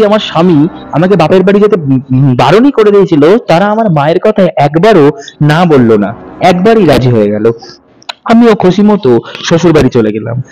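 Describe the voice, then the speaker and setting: Man with a high voice, earnest first-person horror narrator, stops to fix his own slips. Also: darkish tone, rough recording. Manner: earnest, with small self-corrections.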